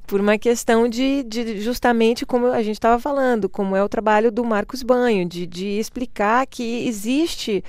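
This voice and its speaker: A woman's voice: a little high, moderate pace, hardly any pauses, no room sound. A middle-aged woman from Brazil with a Brazilian Portuguese accent, a guest speaking reflectively in a conversational interview, laughing often. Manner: reflective, laughs often, quotes others in lively voices.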